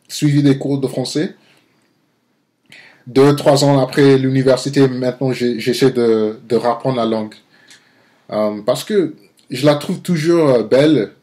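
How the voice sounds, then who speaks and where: A man in his twenties with an American accent, a native English speaker born in Nigeria, talking casually to camera as an amateur vlogger, haltingly searching for words and correcting himself. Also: mid-range voice, dark tone. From Nigeria-born, grew up in the United States. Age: 20s. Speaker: amateur video blogger.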